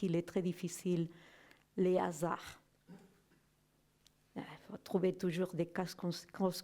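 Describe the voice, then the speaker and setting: A woman giving a personal testimony in halting, non-native French, often searching for words and checking in with "non?". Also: fairly low pitch, dark tone. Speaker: guest speaker giving a testimony. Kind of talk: slow reflective personal testimony.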